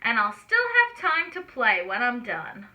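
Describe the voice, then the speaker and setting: A young boy piglet played by a woman from a children's storybook, eager and carefree. The voice is very bright and high, with big swoops in pitch. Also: huge pitch swings, a little slow, full character voice, rough recording. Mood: breezy, confident.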